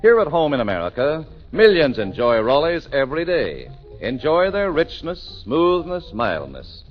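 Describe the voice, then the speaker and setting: A man announcing a 1940s radio show with a polished, booming delivery. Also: huge pitch swings, normal speed, soft background music. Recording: dull old radio broadcast.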